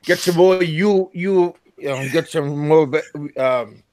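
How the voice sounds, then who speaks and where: Teasing man with a higher voice. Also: takes it slowly, darkish tone. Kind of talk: casual chat on a live show.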